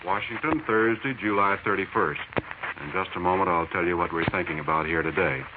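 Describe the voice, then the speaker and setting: A man's voice: sits low, brisk, phone-quality sound, noisy background. An American man delivering 1940s radio news commentary on an old broadcast recording.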